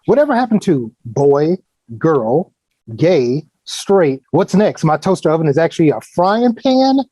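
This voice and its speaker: A man acting a blunt, sarcastic, stubborn conservative uncle with a husky voice in a comedy table read. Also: on the high side, sing-song, a little slow, mellow, no echo. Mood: mocking.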